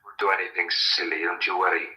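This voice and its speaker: A man's voice: low-pitched, flat delivery, fast, bright, forceful tone, low-quality recording. An actor playing a jealous, suspicious young countryman, curt and sarcastic, in a vintage radio drama. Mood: curt, resentful.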